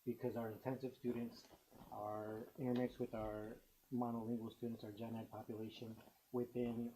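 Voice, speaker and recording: A man's voice: mid-range voice, little pitch movement, moderate pace, noisy background. A man presenting a staffing request to a school board, casual and chatty. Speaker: school administrator.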